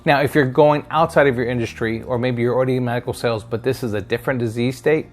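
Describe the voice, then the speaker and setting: A man's voice: medium pitch, fairly even intonation, fast talker. A middle-aged man presenting instructional advice straight to viewers, explaining step by step and checking in with short tag questions. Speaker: career advice video host, sales hiring manager.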